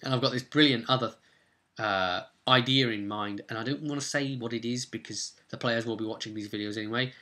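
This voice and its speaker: Bright, forceful man telling stories. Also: medium pitch, a little fast. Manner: rambling, animated asides to viewers. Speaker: game master recapping his tabletop campaign. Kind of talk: casual video recap, storytelling.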